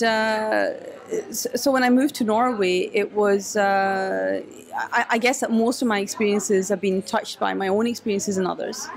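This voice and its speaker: A woman's voice: mid-range voice, vocal fry. A woman answering interview questions in a cafe, thoughtful and hesitant, with many restarts. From Scotland. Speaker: guest, photographer and activist.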